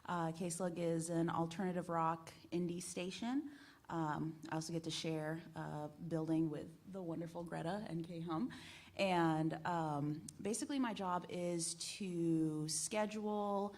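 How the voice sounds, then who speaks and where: A woman with a lowish voice speaks casually as a panelist at a live event. She introduces her work as a radio host off the cuff, pausing between phrases. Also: steady tone, darkish tone. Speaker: radio program director and morning host.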